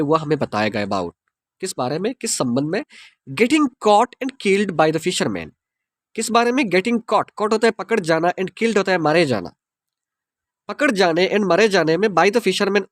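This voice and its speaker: An Indian man who speaks Hindi as his first language teaches English through a story. He reads each English line very slowly and spells words out letter by letter. His voice is high and swings widely in pitch, and the sound is dry and close. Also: dry recording.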